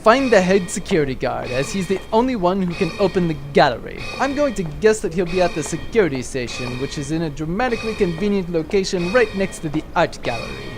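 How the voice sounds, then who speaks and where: A man's voice: high voice, very animated, fast talker, few pauses. A man playing a scheming, dry-witted space villain in a comedic audio drama, long-winded and sardonic, with music underneath.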